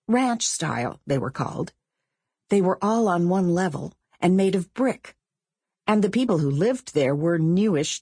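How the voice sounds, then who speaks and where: A woman reading a novel as an audiobook narrator, with measured, expressive storytelling. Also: sits low, husky, dry recording, clean studio sound. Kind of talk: scripted literary narration.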